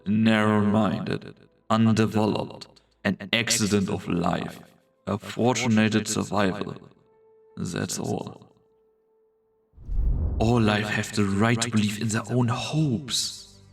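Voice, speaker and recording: A man playing a brooding, world-weary strategist in a fantasy game fan dub, delivering solemn, theatrical monologues with a gravelly voice. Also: lowish voice, far from the mic. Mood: bitter, resigned. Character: brooding, world-weary male strategist called Doctor, torn and grandiose. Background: background music.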